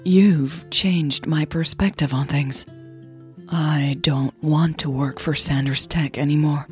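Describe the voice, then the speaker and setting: A woman voicing a tender, nervous woman in love in a romantic audio drama, halting and earnest with a shaky, breathy voice. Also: deep voice, steady tone, soft background music, voice pushed down.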